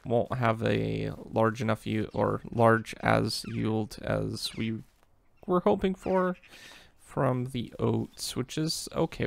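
A man hosting a casual let's play, talking in a relaxed, rambling way with lots of fillers while losing his voice. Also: on the low side, vocal fry. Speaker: gaming channel host.

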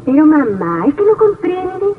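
A woman's voice: sits high, very animated, a little slow, distant mic, narrow, tinny audio, a little hiss or hum. An actress playing a willful, romantic young woman of twenty in theatrical old radio melodrama style. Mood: impatient, defiant. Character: willful, romantic twenty-year-old daughter, impatient and dreamy. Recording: thin old radio drama recording, faint music.

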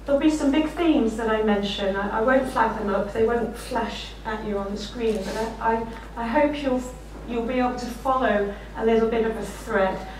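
A middle-aged woman with a slightly croaky voice, giving a conference keynote with self-deprecating anecdotes. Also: fairly high pitch, moderate pace, few pauses, slight background noise. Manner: warm anecdotal, self-deprecating, often laughing while speaking. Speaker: keynote speaker. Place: lecture room, standing behind a desk. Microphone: room mic, far from speaker. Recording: echoey lecture room from far off.

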